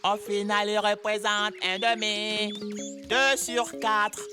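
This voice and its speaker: A woman's voice: mid-range voice, little pitch movement, slow pace, natural voice. A woman voicing a bossy but patient older girl in an educational cartoon, explaining with emphasis. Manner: instructive, emphatic. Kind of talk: French-language educational cartoon dub. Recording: music behind the voice.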